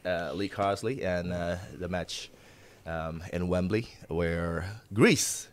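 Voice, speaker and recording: A man's voice: sits low, slow. A man hosting a casual football talk show, hesitant with many filler words.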